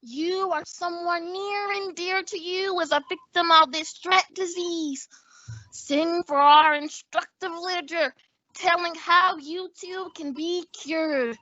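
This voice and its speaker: A woman's voice: very high-pitched, sharp tone, dry recording, full character voice. A woman playing an eager, mischievous teenage schoolboy in an old-time radio comedy. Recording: dull, muffled audio.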